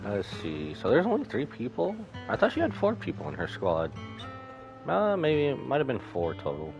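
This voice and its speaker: A man's voice: mid-range voice, wildly swooping pitch, moderate pace, vocal fry, lo-fi sound. A man on a live game stream, reading dialogue aloud and adding his own casual comments. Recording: music behind the voice.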